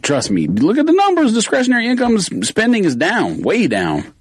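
A man's voice: sits high, wildly swooping pitch, fairly quick, background noise. A man co-hosting a podcast in casual chat, insisting on his point in a lecturing way. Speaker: podcast co-host.

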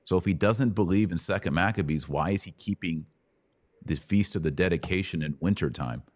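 A man's voice: deep voice, brisk, darkish tone, no echo. A middle-aged American man hosting a conversational religious question session, explaining step by step and checking understanding with short questions. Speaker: host answering Bible questions.

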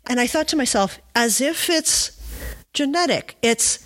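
A woman interviewing casually, thinking aloud in a halting, tentative and reflective way. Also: high voice, expressive. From United States. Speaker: podcast interviewer.

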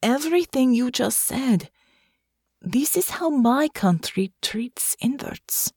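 Audiobook role: gentle young farm woman, voiced by a female narrator, earnest, careful with each word, close dry sound. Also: on the high side, animated, husky, no room sound, voice pushed up, full character voice. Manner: soft, careful, deliberate, formal phrasing. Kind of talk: audiobook character dialogue.

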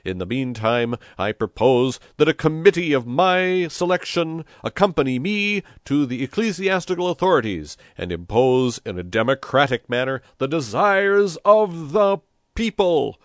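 A man voicing a hot-headed, blustering village mayor in a storybook, loud, exasperated and given to oratory. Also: low-pitched, big pitch swings, normal speed, no echo, studio quality, exaggerated voice. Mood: rousing, defiant.

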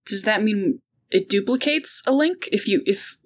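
A woman's voice: mid-range voice, animated, unhurried, breathy edge. A woman at a tabletop game, chatting loosely and tripping over her words. Her voice sits in a middle range. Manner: stammering, chatty. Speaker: player. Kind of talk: casual tabletop game chat.

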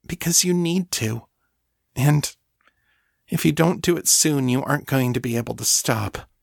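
Man voicing a timid, high-pitched thrall woman. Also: unhurried, creaky, no echo, clean studio sound. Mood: worried, pleading. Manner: hesitant, pleading, soft-spoken. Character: timid, submissive half-elf thrall woman, anxious to please. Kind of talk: audiobook character acting.

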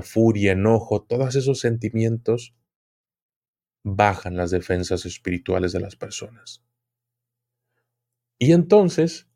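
A man's voice: fairly low pitch, warm tone. A man who is an occultism expert guest, explaining at length in an interview and often repeating words while he searches for them.

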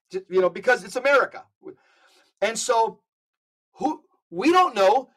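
High-voiced man exhorting listeners. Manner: urgent, exhorting, rapid lists. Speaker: podcast guest. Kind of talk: casual podcast talk.

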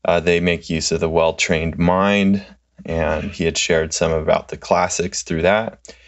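A man in his thirties, a social worker, answering interview questions in a relaxed, halting way with many fillers. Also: sits low, highs cut off. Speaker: guest, clinical social worker.

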